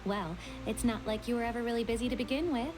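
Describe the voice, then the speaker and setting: A woman's voice: a little high, fast talker, darkish tone, soft background music, close to an everyday voice. Haughty, snide woman detective played by an actress. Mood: smug, mocking. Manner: snide, dismissive, dramatic. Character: haughty, sarcastic, lazy female detective. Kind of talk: video game voice acting.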